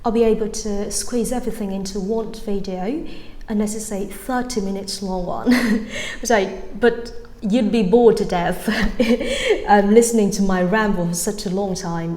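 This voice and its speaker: A woman's voice: mid-range voice, mellow, a little hiss or hum. A young Vietnamese woman in her twenties, speaking English with a light Vietnamese-tinged accent with British touches, giving a chatty, rambling language lesson full of ums and restarts. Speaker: English-learning YouTuber. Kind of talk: casual vlog-style lesson. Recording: echoey room with faint ambience.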